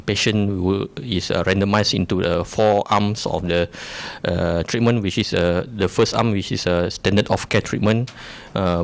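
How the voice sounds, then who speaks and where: A young man from Malaysia, a resident giving a debate opening statement, hesitant with many fillers and restarts. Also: deep voice.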